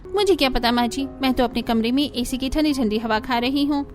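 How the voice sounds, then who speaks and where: A woman's voice: high-pitched, a little fast, darkish tone, lightly acted. A woman voicing a pampered, complaining young sister-in-law with a whiny, dramatic manner in a Hindi cartoon. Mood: dramatic complaint. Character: pampered, complaining young Indian elder sister-in-law who hates heat. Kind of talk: Hindi animated family story acting.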